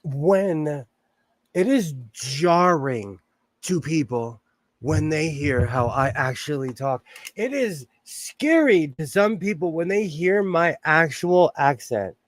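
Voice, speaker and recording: A man's voice: on the high side, animated, slow pace, no echo. Chatty Southern Californian man. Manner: rambling, chuckles often, greets viewers by name. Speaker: livestream host. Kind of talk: casual chat while sewing, reading chat.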